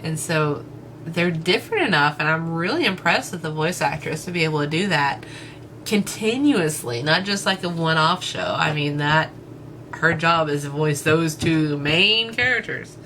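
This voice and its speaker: A woman with a thick East Texas accent, casually answering fan questions for her channel, relaxed and hesitant with lots of fillers. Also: low voice, normal speed, a little hiss or hum. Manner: relaxed, hesitant, many fillers and small laughs. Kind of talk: casual Q&A chat.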